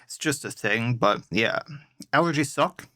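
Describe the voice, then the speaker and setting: A man's voice: medium pitch, animated, unhurried, sharp tone, no room sound, clean studio sound. A man with a stuffed-up nose and a sore throat, chatting casually as he plays and explains his party's stats at length. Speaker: let's play host. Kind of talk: casual gameplay commentary.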